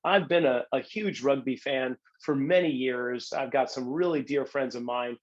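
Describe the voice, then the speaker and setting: A man's voice: mid-range voice, moderate pace, dull audio. A man hosting a podcast, friendly and self-deprecating, often stumbling over and repeating words. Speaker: podcast host. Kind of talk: casual podcast interview.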